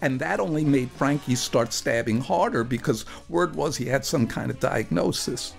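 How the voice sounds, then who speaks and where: Gravelly man telling a wry story. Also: mid-range voice, moderate pace, soft background music. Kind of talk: dramatic first-person storytelling for radio.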